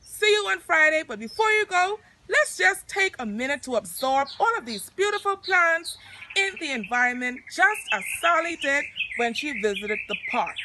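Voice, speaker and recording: A woman hosting a story time outdoors, reading aloud and speaking directly to children in an expressive way. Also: high voice, moderate pace. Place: outdoors in nature. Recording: outdoor recording with clear birdsong noise. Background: chirping birds.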